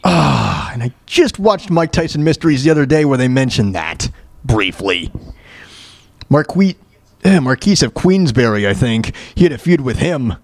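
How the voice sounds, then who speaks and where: A man's voice: medium pitch, sing-song, moderate pace, no room sound. A man hosting a casual talk show, reacting in a folksy way and often groping for words and repeating himself. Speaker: show host.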